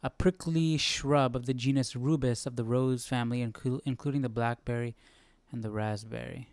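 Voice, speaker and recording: A man hosting a Bible study, casually thinking aloud as he reads, haltingly asking himself questions. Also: mid-range voice, fairly even intonation, a little mellow, dry recording. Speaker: host of a live Bible study.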